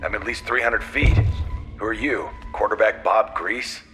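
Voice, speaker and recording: Deep, easygoing astronaut. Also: male voice, normal speed, music in the background. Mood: teasing. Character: easygoing, teasing male astronaut and father. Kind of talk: naturalistic audio drama acting.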